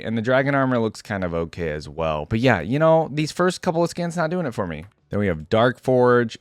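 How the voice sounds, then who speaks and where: A man's voice: fairly low pitch, no echo. A man giving casual, unscripted reaction commentary on a video game, talking in an informal, rambling way with hedging asides.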